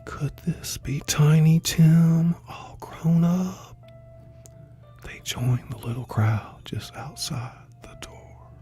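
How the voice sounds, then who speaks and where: A man narrating a scripted dramatic story in a deliberate manner, sometimes hushed. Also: on the high side, whispered, faint music behind. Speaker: narrator of a live story reading.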